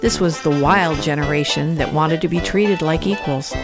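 A woman's voice: deep voice, moderate pace. A woman announcing a radio drama in scripted narration. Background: music.

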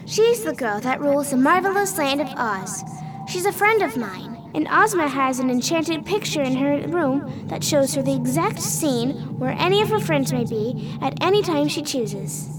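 Woman playing a high-voiced, plucky little farm girl. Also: expressive, moderate pace, heavy background noise. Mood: hopeful. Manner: earnest, plainspoken. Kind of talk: radio theater acting.

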